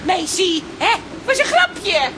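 Excitable, very high-pitched teasing woman. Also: takes it slowly, music in the background, exaggerated voice. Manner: exclamatory, teasing. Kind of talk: radio play acting.

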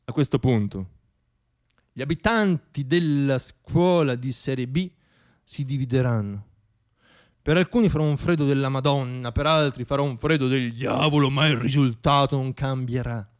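A man performing a theatrical radio monologue, telling stories in a wry, dramatic way with deliberate pauses. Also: medium pitch.

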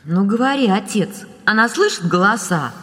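A stern grown-up daughter in a radio drama. She sounds resentful and exasperated, pressing her point with sharp reproach in a medium-pitched voice. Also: animated, moderate pace, big-room sound.

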